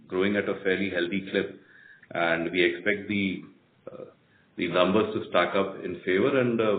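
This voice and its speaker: An Indian-accented man, a company executive, explaining results in a measured way on an earnings call. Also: low voice. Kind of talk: answering questions on an earnings call. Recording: echoey narrowband conference phone line, faint noise.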